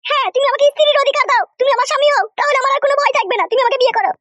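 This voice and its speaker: A woman's voice: extremely high pitch, very fast, a bit bright, no echo. A woman voicing an insistent, pleading mistress in a comedy cartoon. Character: insistent mistress begging her lover to marry her. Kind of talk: animated comedy cartoon dialogue.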